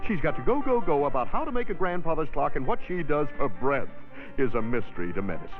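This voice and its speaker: A man's voice: a little high, very animated, fast. An actor playing an exasperated, sardonic car service man narrating a comic 1960s training film in slangy, theatrical style. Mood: mocking exasperation. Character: wisecracking, exasperated car dealership service man griping about customers. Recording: tinny, band-limited 1960s film soundtrack, music behind.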